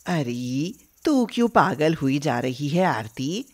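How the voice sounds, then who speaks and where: A woman voicing a middle-aged Indian mother-in-law in an animated story, scolding and exclaiming in a suspicious, indignant manner. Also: sits low, slow pace, voice pushed down, exaggerated voice. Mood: reassuring, firm.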